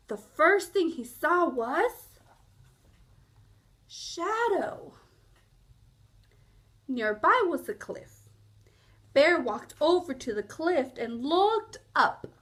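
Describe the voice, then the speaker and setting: Slow, high-pitched woman reading a storybook. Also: very animated, fairly bright tone. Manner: explanatory, asks listeners questions. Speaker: children's storybook reader. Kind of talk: read-aloud storytelling for young children.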